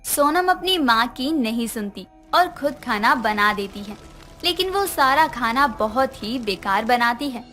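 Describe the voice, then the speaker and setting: A woman's voice: a little high, animated, measured pace, bright, forceful tone, faint music behind. A woman reading scripted narration of a family moral story.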